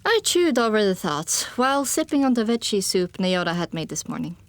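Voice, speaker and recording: Animated woman narrating an audiobook. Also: mid-range voice, brisk, no echo. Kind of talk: expressive first-person fiction narration.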